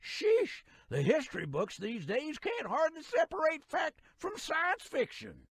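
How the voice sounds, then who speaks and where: An actor voicing a folksy, excitable old-timer railroad guide in a video game, with a rural American accent, a creaky voice and a colloquial, theatrical manner. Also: male voice, extremely high pitch, a little slow, no room sound, exaggerated voice. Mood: surprised, teasing.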